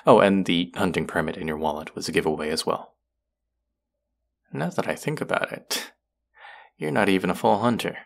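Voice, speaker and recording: Man acting a very deep, gravelly sarcastic young vampire. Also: expressive, moderate pace, pauses often, heavily acted. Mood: smug, cold. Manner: sarcastic, curt, condescending. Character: irritable, predatory young male vampire college student. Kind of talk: intimate audio roleplay acting.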